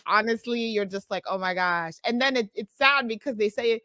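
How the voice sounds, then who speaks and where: A woman's voice: fairly high pitch, moderate pace, pushed and bright. A woman from Nigeria, a podcast co-host chatting casually and retelling a story with asides.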